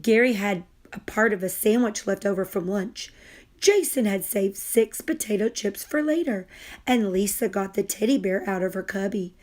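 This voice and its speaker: A woman reading a children's story aloud in a deliberate, expressive way. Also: mid-range voice. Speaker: storybook reader.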